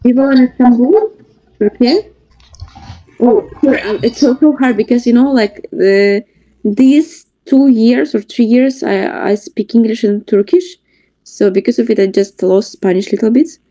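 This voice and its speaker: Eine Frau in ihren Zwanzigern, die gesprächig über einen Laptop-Videoanruf erzählt und über ihre eigenen Verwechslungen lacht. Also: female voice, a little high, moderate pace, warm tone, poor audio. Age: 20s. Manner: chatty, laughs at her own mix-ups. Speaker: video chat stranger. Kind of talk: casual chat. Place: room indoors.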